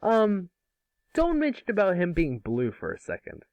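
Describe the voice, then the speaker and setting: A man playing a nervous, excitable young male student spellcaster in an amateur table read. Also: high-pitched, normal speed, no room sound, voice pushed up, full character voice.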